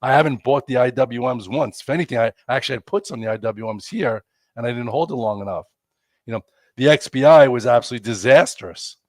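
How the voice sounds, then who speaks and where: A man's voice: mid-range voice, quick pace, no room sound, clean studio sound. A man, congested and losing his voice, hosting a chatty, rambling live stock market show with lots of fillers. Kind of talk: casual live market commentary.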